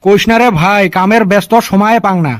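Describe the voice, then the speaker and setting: A man's voice: high-pitched, steady tone, brisk, over music, natural voice. A man voicing a busy, friendly old friend on the phone in a comedy cartoon, with a western Assam accent.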